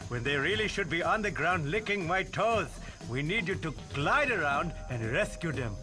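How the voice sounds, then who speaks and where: A man plays a bossy cartoon lemur monarch. The voice sits high and swings theatrically from line to line, full of grand self-regard, with game music underneath. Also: expressive, normal speed, lightly acted. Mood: bossy, self-important. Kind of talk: animated video game acting.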